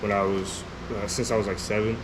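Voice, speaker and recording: A young man from Turkey whose first language is Turkish, a musician guest in a casual interview. He talks in a relaxed, hesitant way with many pauses and fillers. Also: lowish voice, background noise.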